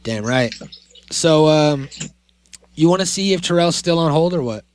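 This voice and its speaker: A man's voice: fairly high pitch, lively intonation, normal speed. A man co-hosting a podcast, chatting casually in a rambling, offhand way. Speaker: podcast co-host. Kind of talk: casual chat.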